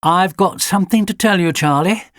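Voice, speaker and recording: A man's voice: high voice, moderate pace, fairly bright tone, no room sound, clean studio sound, voice pushed up. A man voicing an anxious mother breaking bad news. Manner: hesitant, careful. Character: anxious mother, adult woman.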